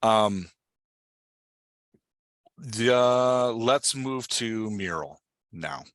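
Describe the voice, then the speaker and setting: Bright-voiced man coaching, close and dry. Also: fairly low pitch, expressive, very slow, dry recording. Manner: instructive, prodding with questions. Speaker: podcast host and dialogue writing coach. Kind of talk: casual one-on-one coaching talk.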